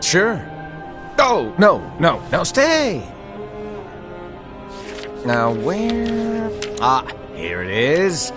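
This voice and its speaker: An actor playing an eccentric, wise mentor who is absent-minded yet solemn, speaking deliberately and theatrically in a game cutscene. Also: male voice, sits high, huge pitch swings, heavily acted. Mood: distracted, flustered. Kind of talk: cinematic game cutscene acting. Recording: music behind the voice.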